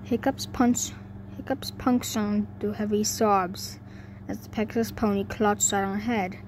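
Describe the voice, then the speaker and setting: Young woman reading a fanfic out loud, slow n stumbly, humming noisy home audio. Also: mid-range voice, steady tone. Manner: stumbling over words. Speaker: amateur fan fiction reader. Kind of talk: halting story read-aloud. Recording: noisy home recording with hum.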